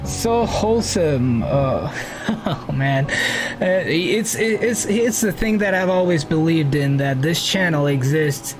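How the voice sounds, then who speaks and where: A man hosting a flight simulator live stream in a casual, chatty way, thinking aloud as he sets up the cockpit and greeting his viewers. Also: mid-range voice. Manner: greets and thanks viewers warmly. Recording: music playing under the voice.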